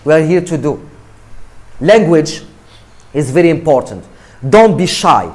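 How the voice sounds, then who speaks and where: A man from Morocco gives an emphatic motivational talk to students in English. His voice sits fairly high and swings widely in pitch. He repeats words and keeps asking his listeners "right?". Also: sing-song, unhurried, darkish tone. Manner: emphatic, repetitive, asks "right?" often.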